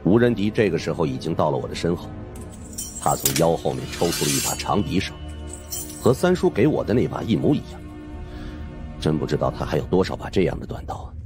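A male audiobook narrator with a deep voice tells a suspense tale in the first person. His delivery is dramatic and extremely varied in pitch, over a clear music bed. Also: a little fast. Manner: animated, dramatic storytelling.

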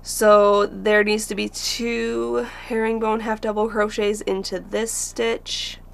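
A woman's voice: medium pitch, flat delivery, slow, creaky. A woman teaching crochet step by step, patiently counting stitches aloud as she works.

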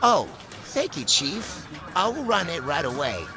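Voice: medium pitch, sing-song, unhurried, music in the background. A performer voicing a grumbling but loyal male police colleague in an audio roleplay. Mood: obliging.

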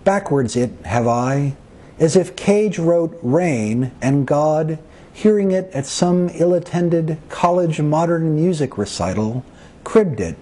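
A man recites his own poetry slowly, in short, deliberate phrases with pauses between them. His voice sits a little high for a man, with a soft, mellow tone and a husky grain. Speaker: poet. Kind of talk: poetry reading of own work.

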